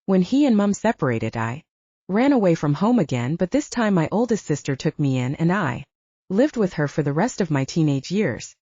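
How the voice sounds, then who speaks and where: A woman's voice: on the low side, sing-song, moderate pace, warm tone, slightly rough, dry recording, muffled recording. A woman voicing a wounded, self-reliant human ranger in an audiobook, confiding and candid. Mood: bitter, confiding. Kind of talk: audiobook character dialogue.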